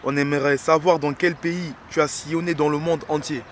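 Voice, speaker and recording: A man's voice: on the high side, steady tone, brisk, a crowd in the background. A man interviewing a musician casually, asking questions and repeating his guest's answers back to him. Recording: outdoor recording with faint street noise.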